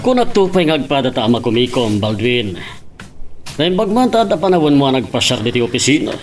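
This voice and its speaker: A man's voice: medium pitch, sing-song, brisk, over music, lightly acted. A man playing a doting middle-aged father in a radio soap. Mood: proud, confiding. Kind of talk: Philippine radio soap acting.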